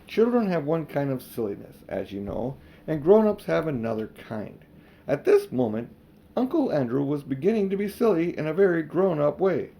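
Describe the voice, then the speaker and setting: An older man reading a children's storybook aloud as expressive narration. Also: a little high, moderate pace, vocal fry. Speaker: audiobook reader.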